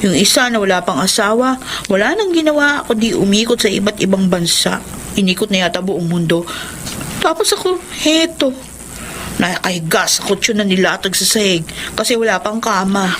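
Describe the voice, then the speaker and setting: Radio drama wife, female actor, young, discontented, plaintive everyday delivery, medium pitch, audible noise behind. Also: lively intonation, moderate pace, a bit bright, close to an everyday voice. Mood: frustrated, plaintive. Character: discontented young wife, Tina, worn by money worries. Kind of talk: Tagalog radio drama acting.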